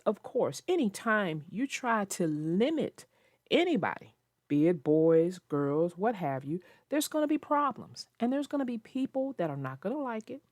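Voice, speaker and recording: A woman narrating a children's book aloud and commenting on it, with expressive, emphatic, deliberate phrasing. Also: medium pitch, no echo, studio quality. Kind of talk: expressive storybook read-aloud with informal commentary.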